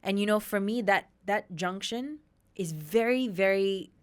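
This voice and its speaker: Woman, thirties, from Australia, guest on a talk podcast. Earnest, animated storyteller with an average pitch. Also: a little slow, clear, resonant tone. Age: 30s. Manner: earnest, emphatic, animated retellings with laughs. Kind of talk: candid personal storytelling.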